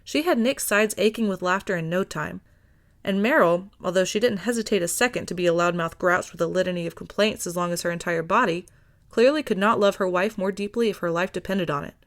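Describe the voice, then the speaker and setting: A woman's voice: fairly low pitch, fairly quick. A woman reading scripted fiction as an audiobook narrator.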